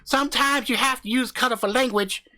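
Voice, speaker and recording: A man voicing a loud, crude, profane husband who hunts ghosts with his wife, swaggering and brash. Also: very high voice, fairly quick, pitched above the performer's own voice, heavily acted. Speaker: comedic character.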